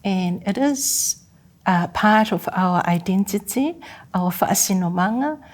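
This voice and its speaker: A woman who teaches Samoan, speaking as a guest in an earnest, measured way. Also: medium pitch, animated. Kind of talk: guest interview.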